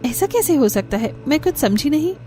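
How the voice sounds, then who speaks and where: A woman's voice: high-pitched, very animated, fairly quick, dark tone, a little hiss or hum, voice pushed up. A woman voicing an honest, exasperated young daughter-in-law in a cartoon story, speaking in an earnest, pleading way. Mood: puzzled. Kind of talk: cartoon story character dialogue.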